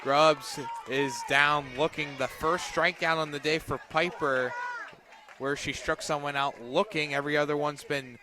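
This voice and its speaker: A man doing live radio play-by-play commentary of a softball game from outdoors at the field. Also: on the high side, fairly even intonation, unhurried, background noise. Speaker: play-by-play sports announcer.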